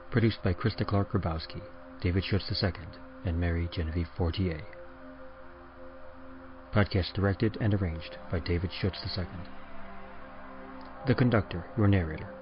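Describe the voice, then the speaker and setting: A man with a deep voice delivers a scripted podcast announcement. His sound is slightly dull, with music clearly playing behind him. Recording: dull, muffled audio.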